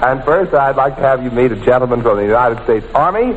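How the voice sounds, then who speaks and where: A man's voice: medium pitch, expressive, quick pace, natural voice. A man playing a formal announcer on a 1940s radio show. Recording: muffled, reverberant, hissy old radio.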